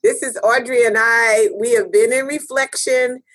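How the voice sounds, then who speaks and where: A woman co-hosting a casual podcast chat, answering her co-host with lively, affirming call-and-response encouragement. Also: a little high, normal speed, no echo. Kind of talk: casual chat between sisters.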